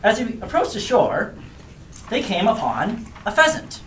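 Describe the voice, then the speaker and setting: Casting: female comic storyteller, mid-pitched with big swoops, echoey noisy room. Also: sing-song, a little slow. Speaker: puppet show storyteller. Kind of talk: scripted live story narration, comic asides.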